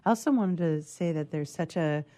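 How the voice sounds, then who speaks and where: A woman's voice: low voice, normal speed, mellow. A woman co-presenting a casual literary discussion, earnest and emphatic, rephrasing as she goes. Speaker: co-presenter and reader.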